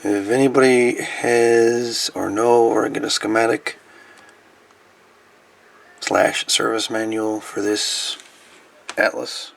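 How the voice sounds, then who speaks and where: A man with a creaky, gravelly voice gives casual, halting hands-on repair commentary, muttering to himself. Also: medium pitch, little pitch movement. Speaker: host of a radio repair video.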